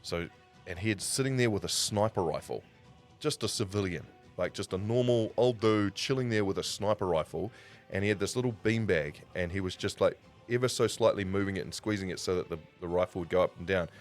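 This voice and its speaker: Low-voiced New Zealand man telling stories. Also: brisk. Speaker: livestream host. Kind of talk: casual storytelling on a livestream. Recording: music playing behind the voice. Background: background music.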